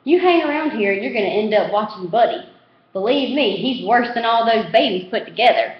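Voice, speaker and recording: A woman's voice: fairly high pitch, lively intonation, brisk, echoey room, lo-fi sound, close to an everyday voice. A woman voicing a matter-of-fact, casual young boy in a storybook reading.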